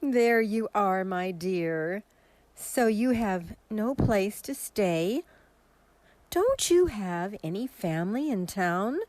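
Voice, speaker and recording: A woman playing a kindly, sympathetic shelter volunteer in a radio drama, gentle and solicitous. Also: medium pitch, expressive, takes it slowly, no echo.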